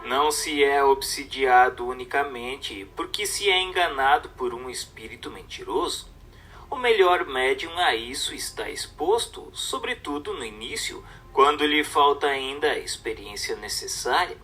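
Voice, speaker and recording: A man narrates a text in a measured, instructive manner. His mid-pitched voice is bright and forceful, projecting each phrase as a formal reading. Soft music plays behind him. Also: animated. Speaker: audiobook narrator. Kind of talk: scripted reading of a book.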